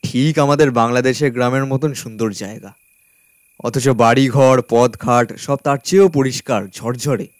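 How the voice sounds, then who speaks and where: An actor playing a carefree, trusting uncle in a radio drama, chatting in a jovial, easygoing manner. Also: male voice, mid-range voice, steady tone, normal speed, no echo, studio quality, close to an everyday voice. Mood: weary, delighted.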